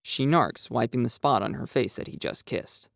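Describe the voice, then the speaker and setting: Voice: mid-range voice, moderate pace, creaky, no echo. A narrator reading a dramatised first-person story in a scripted style. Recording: dry, music behind. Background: background music.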